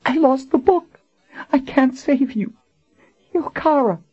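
A man's voice: very high voice, level tone, slow pace, darkish tone, husky, voice pushed up, full character voice. A man voicing a badly wounded young woman who cries and pleads in panic. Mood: tearful. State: crying, breathless. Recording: dull lo-fi audiobook recording.

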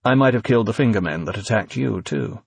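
A man doing a calm, cryptic masked man. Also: lowish voice, gravelly, husky, no room sound, heavily acted. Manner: calm, measured and neutral. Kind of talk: audiobook character voice.

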